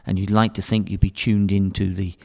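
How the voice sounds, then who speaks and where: A man's voice: sits low, little pitch movement, a little fast, darkish tone. A middle-aged British man speaking casually as a podcast guest, rambling and often repeating words as he restarts sentences. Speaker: podcast guest, school academic director. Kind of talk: casual interview talk. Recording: hall reverb.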